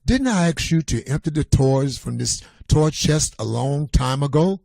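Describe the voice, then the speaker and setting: A man's voice: fairly high pitch, expressive, measured pace, gravelly, warm tone, no room sound, clean studio sound. A man voicing a busy, disappointed storybook mother, firm as she explains herself.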